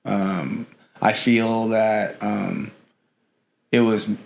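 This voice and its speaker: Gravelly low-voiced middle-aged man. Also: steady tone, extremely slow. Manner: rambling, lots of fillers and "you know". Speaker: podcast guest. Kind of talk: casual interview chat.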